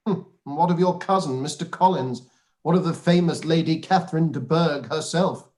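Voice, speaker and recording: A man's voice: fairly high pitch, moderate pace, darkish tone, lightly acted. A man playing a dry, teasing but fond elderly father in a period radio play. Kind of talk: radio play live read.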